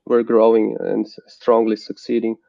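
Brazilian guy on a video call, flat even tone, dull dry sound, lots of uhs. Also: on the low side, gravelly, no room sound. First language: Portuguese. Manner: halting, many "uh" restarts. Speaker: company founder and guest. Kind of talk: remote interview.

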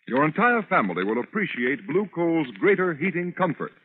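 Animated 1930s male radio announcer. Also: a little high. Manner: formal, emphatic. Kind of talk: 1930s radio announcing, scripted narration and sponsor message. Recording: scratchy, tinny 1930s radio recording.

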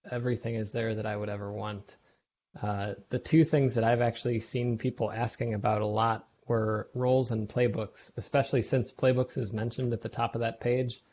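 A man's voice: mid-range voice, a little mellow. A man giving casual feedback in an online meeting, conversational and thinking aloud as he talks. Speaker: software contributor giving feedback. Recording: slightly dull online call audio.

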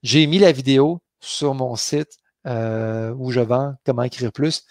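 A man's voice: mid-range voice, unhurried, a little mellow, no echo, studio quality. A man in his forties with a Québécois accent from the Beauce, chatting casually in a live Q&A from his home office on a headset mic, with many fillers and restarts. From Quebec. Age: 40s. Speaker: author hosting a live Q&A.

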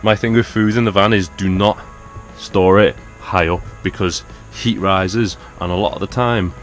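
A man in his thirties with a Liverpool accent, chattily showing his camper van and explaining each feature as he points it out. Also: sits low. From Liverpool, England. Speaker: van dweller giving a van tour. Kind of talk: casual vlog tour narration. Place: inside a small wooden camper van. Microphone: handheld action camera close up.

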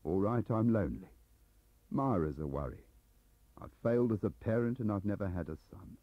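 Man playing a husky, aggrieved English clerk. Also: on the low side, lively intonation, normal speed, dry recording. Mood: wistful, confessional. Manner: rambling, confiding, defensive. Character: aggrieved, lonely middle-aged male embassy registry clerk. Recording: dull lo-fi cassette transfer.

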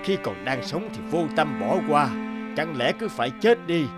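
A man voicing a solemn, scornful spirit of death in a cartoon drama, pronouncing slowly. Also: fairly high pitch, very animated. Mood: solemn reproach. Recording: loud violin music behind.

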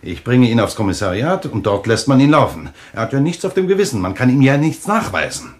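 A man's voice: medium pitch, big pitch swings, a little fast, lightly acted. An actor playing a commanding, distrustful man who interrogates others, in a German radio thriller. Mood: scornful, suspicious. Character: suspicious, commanding man holding a stranger at gunpoint.

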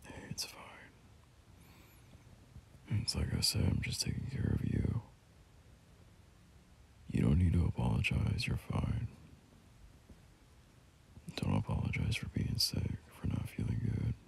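A young man playing a caring, soothing boyfriend in an ASMR roleplay, murmuring gently and hesitantly in a breathy, gravelly half-whisper. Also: extremely low pitch, sing-song, heavily acted. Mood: tender, reassuring. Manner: gentle, hesitant, unhurried reassurance.

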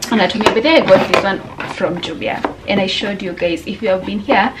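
A woman's voice: medium pitch, noisy background. A young woman with a Kenyan accent chatting casually to her viewers in a home vlog, slipping Swahili words into her English. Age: young adult. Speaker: vlogger. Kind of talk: casual vlog chat to camera. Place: small home room.

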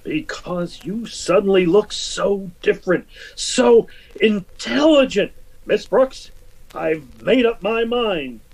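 A man's voice: high voice, animated, slow, darkish tone, heavily acted, poor audio. A man playing a blustery older man in a theatrical old-time radio comedy style. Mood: giddily jolly. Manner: theatrical, exclamatory. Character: blustery older man, grumpy then giddily jolly.

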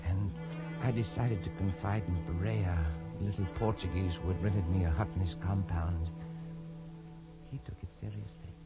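Male actor as haunted adventurer, low voice, tense pauses, defiant, vintage radio drama, muffled sound. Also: fairly even intonation, natural voice. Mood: grim, brooding. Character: haunted, defiant colonial adventurer telling his tale. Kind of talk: 1940s radio acting, dramatic first-person narration. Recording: dull old radio recording, faint music.

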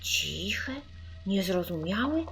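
A woman's voice: mid-range voice, big pitch swings, slow pace, vocal fry, fairly bright tone, soft background music. A woman reading a children's audiobook in a theatrical, suspenseful narrating style. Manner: theatrical, suspenseful, dropping into whispers. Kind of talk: dramatic scripted narration of a children's spooky story.

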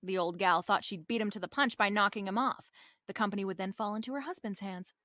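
A woman's voice: mid-range voice, fast talker, sharp tone, no echo, studio quality, close to an everyday voice. An actress playing a wry, confident female private detective in a radio drama, with a breezy, slangy, wisecracking delivery.

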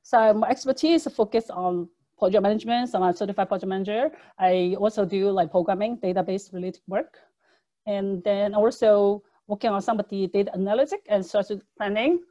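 A woman's voice: medium pitch, a little fast, warm tone, lo-fi sound. A woman whose first language is Cantonese, presenting a public speaking lesson over slides in a video call, with earnest, conversational phrasing and frequent fillers. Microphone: webcam microphone on video call.

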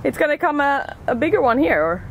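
Creaky-voiced Swedish woman vlogging. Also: high-pitched, big pitch swings, brisk, slight background noise. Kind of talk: casual vlog chat. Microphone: handheld camera.

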